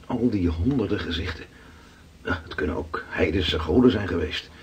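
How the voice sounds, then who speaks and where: Seafaring storyteller, radio play, deep-leaning pitch, rough husky tone, awed, dramatic. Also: male voice, animated, moderate pace, exaggerated voice. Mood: earnest. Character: weathered Dutch seafarer Gerrit, awed storyteller, around 1600.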